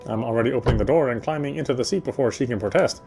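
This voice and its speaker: A man's voice: medium pitch, rapid-fire, creaky, background noise. A man reading a visual novel aloud as dramatic first-person narration, now and then stumbling over the text. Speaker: player reading a game aloud.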